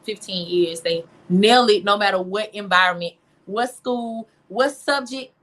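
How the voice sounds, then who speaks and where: A young American woman with a medium-pitched voice answers interview questions in a casual, conversational way. She speaks informally and with emphasis, dropping in "you know" as she goes. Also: measured pace, a bit bright. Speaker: interview guest, teacher and singer-songwriter.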